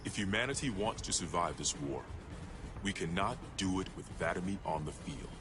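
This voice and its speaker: A man's voice: sits low, expressive, moderate pace. A man acting as a military intelligence agent in a game cutscene, reading out a formal, grave report over music. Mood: grave, urgent. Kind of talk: scripted narration of a military report.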